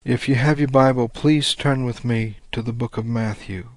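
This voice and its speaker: A man preaching a sermon, reading scripture slowly and deliberately, his voice a little rough and congested. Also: medium pitch, fairly even intonation, music in the background. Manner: deliberate, measured reading of old-style scripture.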